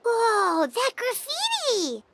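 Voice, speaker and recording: A woman voicing a small, childlike female fairy companion in a video game, eager and squeaky. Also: huge pitch swings, extremely slow, fairly bright tone, slight background noise, full character voice. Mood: awed. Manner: refers to self in third person, eager, squeaky.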